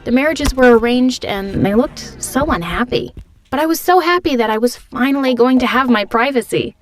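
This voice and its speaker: A young woman giving a scripted first-person narration of an animated story, in an expressive, confiding storytelling manner. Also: fairly high pitch, normal speed, faint music behind, rough recording.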